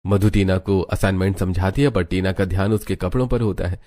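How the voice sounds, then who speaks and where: A man's voice: low voice, fairly quick, mellow. A man narrating a cartoon story in Hindi from a script.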